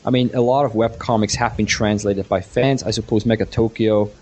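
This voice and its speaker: A man with a fairly low voice whose native language is Swiss German. He talks casually as a panelist, explaining things and offering firm opinions. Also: fairly even intonation, a little fast, darkish tone. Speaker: webcomic creator, panelist.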